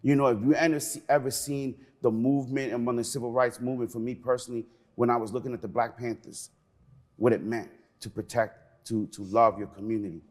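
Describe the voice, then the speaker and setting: A man's voice: medium pitch, steady tone, a little fast. A middle-aged man from Peru, raised in New York, speaking as a guest in an interview, earnest and passionate. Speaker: museum cultural program manager, guest.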